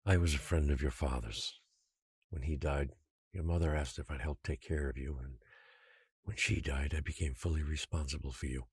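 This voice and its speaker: An actor playing a patient, controlling guardian who secretly rules an island, speaking in a measured, quietly menacing way in an audio drama. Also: male voice, rumbling low, fairly even intonation, slightly rough, dry recording, clean studio sound, heavily acted. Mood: grave, justifying.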